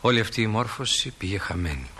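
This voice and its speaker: An actor playing a stage manager who narrates small-town life to the audience in a radio play. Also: male voice, fairly low pitch, animated, natural voice. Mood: storytelling. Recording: hissy old radio drama recording.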